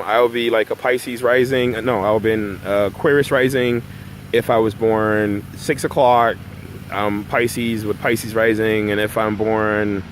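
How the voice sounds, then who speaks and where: Middle-aged male numerology coach, loose and conversational, recorded outdoors with some faint road noise. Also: lowish voice. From Barbados. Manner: conversational, often tags "right?". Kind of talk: casual vlog monologue. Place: outdoors in a park by road works.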